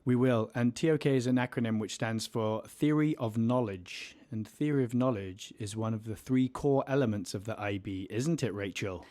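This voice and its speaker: A man's voice: medium pitch, moderate pace, hardly any pauses, mellow. A man in his thirties, a teacher co-hosting a casual podcast with a playful, self-mocking humor. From England.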